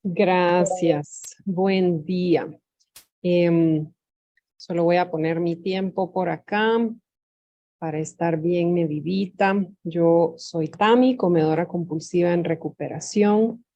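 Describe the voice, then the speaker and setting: A woman's voice: fairly low pitch, mellow. A woman from Guatemala, sharing her personal story as an invited speaker, hesitant and full of fillers, over a video call. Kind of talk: personal testimony.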